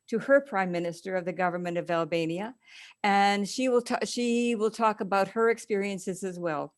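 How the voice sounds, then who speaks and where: A woman, an alumni coordinator, formally introducing speakers with some hesitations in an online info session. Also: mid-range voice. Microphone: video call.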